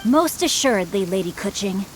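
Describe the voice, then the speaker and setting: A woman's voice: mid-range voice, animated, normal speed, slight background noise, close to an everyday voice, rough recording. A woman voicing a courtly, playful teenage boy of noble family in a video game, with formal, flowery speech. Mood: composed.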